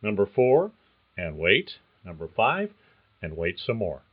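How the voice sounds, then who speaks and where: A man hosting a career podcast, reading a script in a measured, explanatory way. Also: low-pitched, sing-song, husky, narrow, tinny audio. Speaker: podcast host. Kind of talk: scripted narration.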